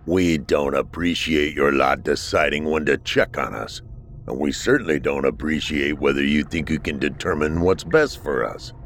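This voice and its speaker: A man's voice: a bit bright, faint music behind. Man doing a guttural, hostile lighthouse dweller. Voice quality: guttural, deep and slow. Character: slimy, sagging keeper of the lighthouse, gruff and hostile.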